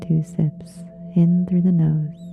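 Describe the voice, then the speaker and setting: A woman leading a guided relaxation meditation in a soft, breathy, hushed and soothing way, leaving long pauses. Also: lowish voice, little pitch movement. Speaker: yoga nidra meditation guide. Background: soft meditation music with singing bowls.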